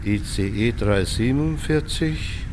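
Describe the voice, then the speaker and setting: A man's voice: medium pitch, unhurried, natural voice. A man acting in a radio play as a dryly ironic villager, with faint background noise.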